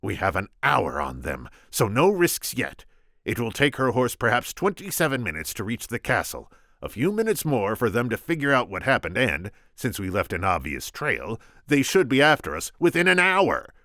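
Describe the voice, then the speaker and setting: A man voicing a conceited, scheming male kidnapper from Sicily, in a shrill, mocking, smug voice, read aloud from a storybook. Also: wildly swooping pitch, normal speed, pushed and bright, no room sound, clean studio sound, exaggerated voice.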